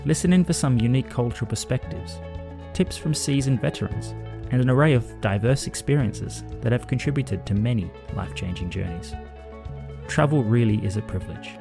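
A man's voice: medium pitch, creaky. A man hosting a travel podcast, reading an upbeat scripted intro and then chatting with his guest from home during lockdown. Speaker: podcast host.

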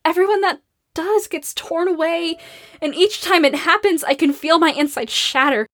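An actress playing a hardened, grieving and self-blaming survivor in a post-apocalyptic audio drama, raw and emotional. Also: female voice, very high-pitched, moderate pace, fairly bright tone. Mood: anguished, bitter. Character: grief-stricken, hardened female survivor, self-blaming and guarded.

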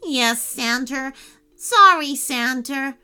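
A woman's voice: high-pitched, lively intonation, slow pace, sharp tone, clear, resonant tone, faint music behind, pitched above the performer's own voice, heavily acted. A woman voicing a shy, lonely male elf in a children's story, speaking in a sheepish, hesitant, miserable way. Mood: miserable, sorry.

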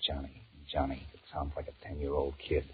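An actor playing a weary, sullen two-timing American office man in a 1940s radio drama, musing in a deadpan mutter. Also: a bass voice, a little fast. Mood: weary, grumbling. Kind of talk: 1940s radio drama acting, inner monologue. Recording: muffled, noisy 1940s radio recording.

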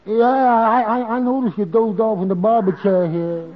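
An actor playing a nervous, bumbling barber with a constant stutter in an old radio comedy, on a muffled recording. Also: male voice, high voice, fairly even intonation, normal speed, lightly acted. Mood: flustered. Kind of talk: 1940s radio comedy acting.

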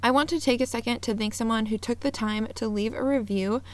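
A woman's voice: on the high side, fairly quick. Eager, upbeat woman podcast host. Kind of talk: scripted intro then casual interview.

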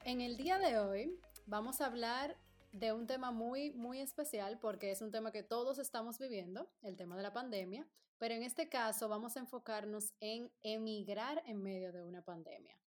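A woman hosting a casual podcast chat, bubbly and quick to laugh and joke. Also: a little high, soft background music. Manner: bubbly, laughs often, jokes. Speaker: podcast host.

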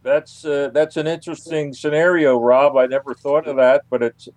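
An older man from the United States speaking haltingly as an unscripted interview guest. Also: fairly high pitch, flat delivery, a little mellow, dry recording. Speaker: interview guest, UFO investigator.

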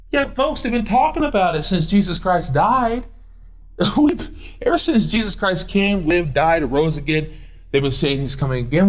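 A man in his forties, a pastor preaching a sermon, animated and answering imagined questions, moving up and down as he talks. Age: 40s. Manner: animated, swooping pitch, paces while preaching.

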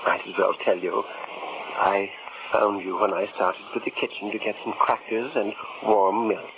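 A man's voice: on the low side, fairly even intonation, normal speed, lightly acted. A man playing a stuffy, indignant older householder with a pompous, scolding manner in a 1950s radio drama. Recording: muffled, noisy 1950s radio recording.

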